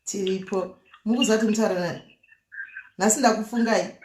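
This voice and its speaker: A woman's voice: medium pitch, fairly even intonation, a little fast, darkish tone. A Zimbabwean woman, a native Shona speaker, hosting a live prank-call show in casual chat that mixes languages. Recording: echoey room.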